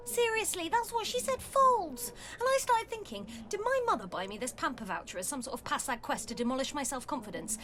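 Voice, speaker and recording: An actress playing a blunt, sardonic pregnant schoolteacher with a dramatic way of telling things, in an audio play. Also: female voice, high voice, a bit bright.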